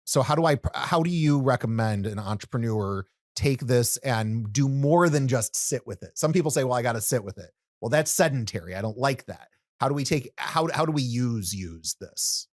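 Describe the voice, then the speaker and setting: A male podcast host with an even, mid-range voice, recorded close and dry. He asks friendly, meandering questions, with fillers scattered through them. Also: mid-range voice, moderate pace, hardly any pauses, no echo. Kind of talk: interview conversation.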